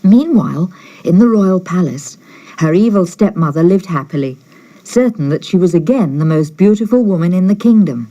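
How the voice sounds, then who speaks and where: A woman narrating a fairytale audiobook in an expressive, scripted storytelling style. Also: fairly low pitch, moderate pace, muffled recording. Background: music.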